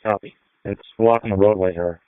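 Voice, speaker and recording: A man's voice: fairly low pitch, fairly even intonation, a little fast, a little hiss or hum. A man talking in brief, matter-of-fact exchanges over a two-way radio. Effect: radio transmission. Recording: narrow-band scanner radio.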